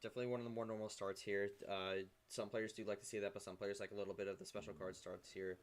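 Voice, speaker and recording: Young male caster, low monotone voice, relaxed and halting delivery. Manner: relaxed, hesitant, many fillers. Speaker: play-by-play commentator. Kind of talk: online game commentary.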